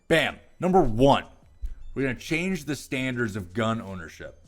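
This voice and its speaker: A man's voice: mid-range voice, big pitch swings, moderate pace, faint music behind. An American man reading aloud and ranting casually about it, with profane, exasperated and sarcastic commentary. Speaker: political commentary vlogger.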